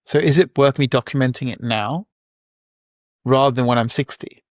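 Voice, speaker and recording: A man's voice: medium pitch, normal speed, no room sound, clean studio sound. A man in his forties, a guest entrepreneur, talking in a casual, reflective way with many fillers and restarts. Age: 40s. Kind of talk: casual interview talk.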